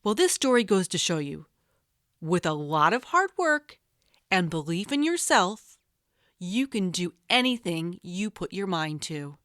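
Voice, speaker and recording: A woman's voice: lowish voice, big pitch swings, measured pace, a bit bright, dry recording, clean studio sound. A woman narrating a storybook for young children as a storyteller.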